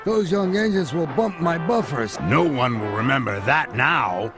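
A man's voice: on the high side, animated, unhurried. A man voicing a proud, fearless old steam engine in a children's story, bragging theatrically. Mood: worried, triumphant. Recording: music behind the voice.

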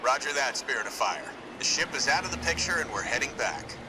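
An actor playing a determined male marine squad leader in a sci-fi war game, brisk and commanding. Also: mid-range voice, expressive, background noise, lightly acted. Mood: satisfied, firm. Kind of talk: video game military acting.

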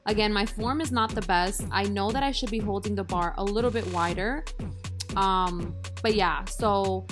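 A young woman talks through her workout in a relaxed, chatty voice-over, cheerfully poking fun at her own form. Her voice sits mid-range, with clear music running underneath. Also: mid-range voice, moderate pace. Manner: chatty, self-deprecating, upbeat. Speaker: fitness vlogger. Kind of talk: casual voice-over narration. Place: voice-over, not recorded in the gym.

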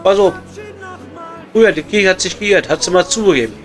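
A man's voice: high voice, expressive, brisk, faint music behind. A man playing a blunt, chatty, sweary coal miner with a Ruhr accent in improvised pub comedy. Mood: chatty, joking. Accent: Ruhr area German. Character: blunt, joking working-class coal miner at his pub. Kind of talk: improvised comedy audio play, pub chat.

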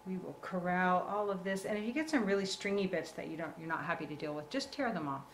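Low-voiced woman teaching crafts, roomy sound. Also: fast talker. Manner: reassuring, encouraging. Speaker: craft tutorial host. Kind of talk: live craft tutorial, casual step-by-step instruction. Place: indoor work table. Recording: roomy echo, clear background noise.

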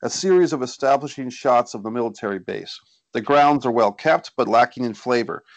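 A man's voice: mid-range voice, brisk, no echo. A man reading stage directions as scripted narration at a screenplay table read, recorded indoors over a video call.

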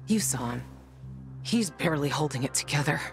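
A tough young woman fighter from a video game, blunt and wry, swearing freely but loyal. Her voice sits a little low and moves with lively intonation over music. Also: brisk. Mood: matter-of-fact. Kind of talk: video game dialogue acting.

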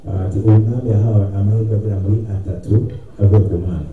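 A man's voice: on the low side, steady tone, fast, soft background music. A young man interpreting a sermon phrase by phrase in a church hall. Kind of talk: consecutive sermon interpreting into Kinyarwanda. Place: church hall, handheld microphone through speakers. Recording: muffled, very echoey hall, distant pickup.

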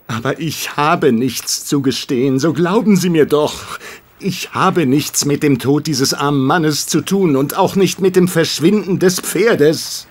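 A cornered bookmaker protesting under questioning in an audio drama. He speaks slowly in a lighter, higher voice, defensive and pleading.

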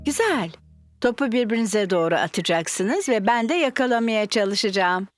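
Cartoon mummy pig played by a woman: gentle, playful, animated, fairly high voice. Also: normal speed, music in the background, lightly acted. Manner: gentle, encouraging. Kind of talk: children's cartoon dub.

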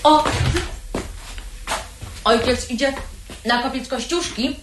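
An actress playing a cheeky teenage daughter, teasing in a sing-song way in a theatrical radio play. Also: female voice, high voice, slow pace. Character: cheeky, mocking teenage girl, daughter of the house. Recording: echoey old radio recording, faint noise.

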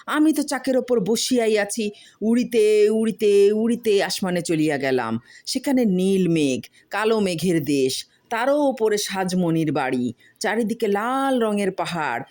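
A woman voicing a boastful village husband who spins excited tall tales, repeating words for suspense in a storybook reading. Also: medium pitch, a little slow, pitched above the performer's own voice, close to an everyday voice.

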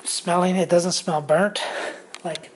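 Slow rambling man in a garage. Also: sits high, fairly even intonation, a little hiss or hum. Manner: rambling, loose, many ums and restarts. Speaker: tool reviewer. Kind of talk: casual tool review vlog. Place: home garage workshop.

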